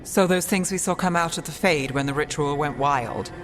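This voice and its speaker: A woman playing a cool, sceptical detective mage in a fantasy video game, measured, dry and questioning. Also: low voice, natural voice. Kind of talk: video game cutscene dialogue.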